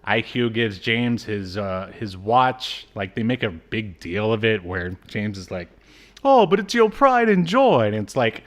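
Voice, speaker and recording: A man's voice: fairly low pitch, big pitch swings, a little slow. A man co-hosting a podcast, giving a casual, comic recap with incredulous, mocking asides. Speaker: podcast co-host.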